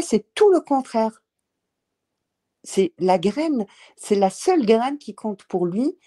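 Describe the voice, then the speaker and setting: A woman speaking in a casual panel discussion, emphatic and halting, with many fillers. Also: medium pitch, mellow.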